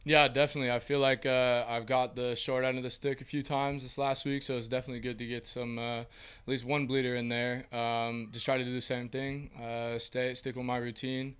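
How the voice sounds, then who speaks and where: A young man, a college baseball player, giving relaxed postgame answers full of fillers into a handheld mic. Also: mid-range voice, brisk, clear, resonant tone. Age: young adult. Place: small indoor press backdrop. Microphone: handheld mic held by host.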